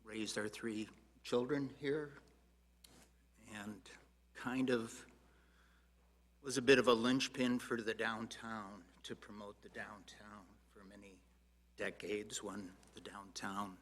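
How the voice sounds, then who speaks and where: An older man introducing himself at a meeting in a deliberate, halting manner. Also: fairly low pitch, little pitch movement, fairly bright tone. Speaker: commission member. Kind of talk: informal self-introduction at a meeting.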